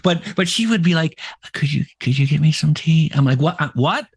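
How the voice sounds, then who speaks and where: A middle-aged man co-hosting a podcast, chatting casually in a boisterous, loud way and telling stories with quoted asides. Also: on the high side, animated, a little fast, gravelly, breathy edge. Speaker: podcast co-host. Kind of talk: casual chat.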